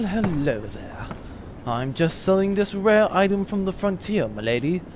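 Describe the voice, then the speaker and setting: A man's voice: fairly high pitch, slight background noise, voice pushed down. A man playing a vain, swaggering space pirate captain in a comic audio adventure, pompous and self-satisfied.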